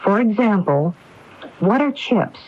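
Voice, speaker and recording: A woman's voice: mid-range voice, animated, takes it slowly, slight background noise. Resolute woman, muffled old radio drama. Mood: intent. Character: resolute, determined woman investigating a murder. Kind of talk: 1980s radio drama acting. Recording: dull, muffled AM radio recording.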